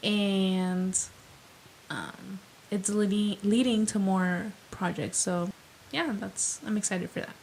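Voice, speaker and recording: A woman's voice: medium pitch, steady tone, slow pace, vocal fry, darkish tone, a little hiss or hum, rough recording. A young adult woman co-hosting a podcast in casual chat, talking with plenty of fillers and easy laughs. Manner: chatty, laughs easily, fillers like "like" and "um". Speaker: podcast co-host.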